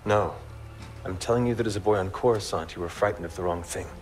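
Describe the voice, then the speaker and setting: An actor playing a calm, wise Jedi hero in a video game, speaking in a measured, earnest, gently probing way. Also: male voice, low-pitched, lightly acted. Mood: serene, persuasive. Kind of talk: cinematic video game acting. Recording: prominent film-style music underneath.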